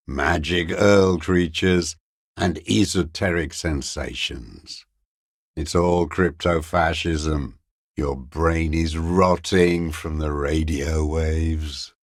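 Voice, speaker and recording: An actor playing a bitter, dying old communist deserter in a video game, raspy and contemptuous, given to cursing and ideological scorn. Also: male voice, extremely low pitch, dry recording, clean studio sound, exaggerated voice. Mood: scornful ranting. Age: older. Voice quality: gravelly, raspy. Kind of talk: dramatic video game voice acting.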